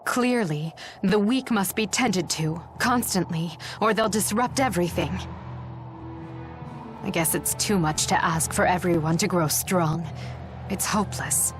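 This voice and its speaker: A woman's voice: on the low side, measured pace, natural voice. An actress playing a proud, disillusioned noblewoman in an English video game. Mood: bitter. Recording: background music under the voice.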